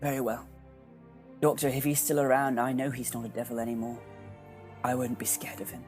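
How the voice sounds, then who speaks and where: A man playing an earnest, awed and grateful painter in an audio drama, deferential, with a husky voice. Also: mid-range voice, flat delivery, fairly quick, darkish tone, soft background music, close to an everyday voice. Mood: wary, resolute.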